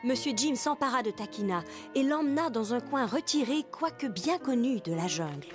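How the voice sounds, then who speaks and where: A woman's voice: fairly high pitch, expressive, normal speed. A woman narrating a scripted children's cartoon story in French as its storyteller. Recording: loud music under the voice.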